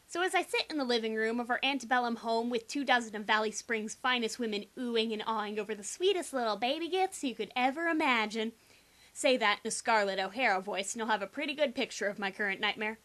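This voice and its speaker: A woman's voice: a little high, fast, a bit bright. A young adult woman giving a sarcastic, theatrical reading of a first-person teen story, with exaggerated emphasis. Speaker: amateur reader.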